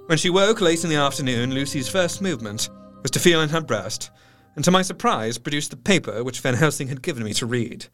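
An actor playing a careworn Victorian doctor, narrating his diary with formal, measured diction in a gothic audio drama. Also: on the high side, lively intonation, a bit bright. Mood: somber. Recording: faint music behind. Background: music.

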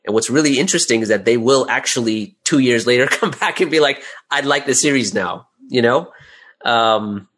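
A man's voice: mid-range voice, moderate pace, fairly bright tone. A man speaking as an interview guest, explaining his business informally and often repeating words as he gathers his thoughts. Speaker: interview guest, audio drama creator and business owner. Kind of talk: casual explanatory interview talk.

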